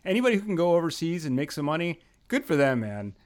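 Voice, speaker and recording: A man's voice: fairly high pitch, quick pace. A man hosting a casual podcast, joking and laughing easily as he chats with his guest. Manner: joking, laughs often, expressive. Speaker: podcast host.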